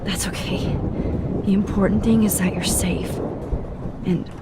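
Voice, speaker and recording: A woman's voice: mid-range voice, a little slow, lightly acted. Actress as an earnest young woman, noisy storm. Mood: earnest, urgent. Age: young adult. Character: earnest, troubled young female photography student. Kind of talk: dramatic video game acting. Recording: storm noise behind.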